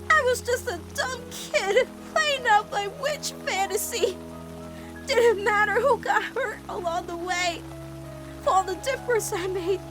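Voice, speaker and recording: A woman voicing a guilt-ridden fourteen-year-old girl in an emotional cartoon fan dub, blaming herself with dramatic intensity. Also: extremely high pitch. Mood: bitter self-blame. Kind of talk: animated-series fan dub drama acting.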